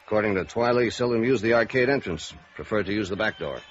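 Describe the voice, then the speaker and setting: An actor playing a guarded, middle-aged American police sergeant in 1950s radio noir, clipped and deadpan. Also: male voice, on the low side, fast, lightly acted. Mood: guarded, dry. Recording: dull vintage radio recording, faint music.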